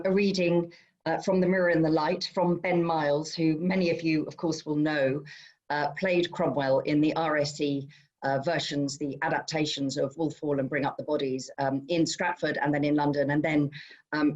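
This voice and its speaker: A woman's voice: sits low, steady tone, normal speed, a little mellow, highs cut off. A woman chairing an event, giving introductions in a conversational, slightly hesitant way. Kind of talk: informal spoken introduction.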